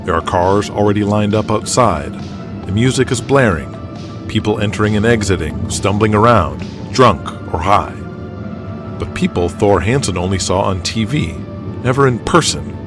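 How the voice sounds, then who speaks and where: A man's voice: fairly low pitch, animated, moderate pace. A man reading scripted dramatic narration as the narrator of an audio drama. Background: music.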